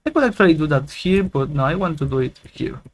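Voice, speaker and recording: A man giving a casual, rambling software tutorial, joking and humming tunes as he goes. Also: a little high, expressive, fast talker. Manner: playful, rambling, hums and sings tunes while waiting. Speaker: tech YouTuber. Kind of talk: casual screen-recorded tutorial.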